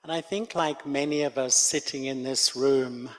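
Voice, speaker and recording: An older man, giving a gentle, reflective spiritual talk to a gathering at a meditation center. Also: mid-range voice, steady tone, moderate pace. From Australia. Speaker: guest speaker. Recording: long hall reverb.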